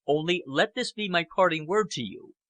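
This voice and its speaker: A man performs a grave British Prime Minister of an audiobook novel, strained and aging. He orates sternly and deliberately in a forceful, raised voice with sweeping emphasis. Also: on the high side, lively intonation, no room sound, pitched above the performer's own voice. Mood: grave, impassioned. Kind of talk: audiobook character acting.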